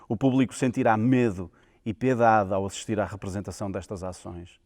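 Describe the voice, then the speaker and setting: A man's voice: fairly low pitch, steady tone, a little fast, darkish tone. A man with a European Portuguese accent reading a scripted educational narration. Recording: long hall reverb.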